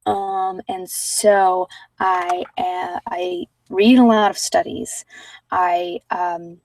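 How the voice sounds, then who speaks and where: A woman talking informally and unscripted on an ASMR meditation channel, thinking aloud in a hesitant, reflective, rambling way with pauses. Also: lowish voice, steady tone, darkish tone, no echo, far from the mic, rough recording. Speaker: meditation guide and former social worker.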